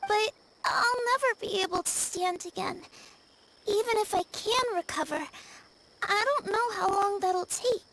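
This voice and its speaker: Casting: sorrowful young game girl, tiny very high voice, discouraged, clearly noisy capture. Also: unhurried. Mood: sad, discouraged. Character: frail, sad young girl, wistful and discouraged. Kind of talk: English video game voice acting.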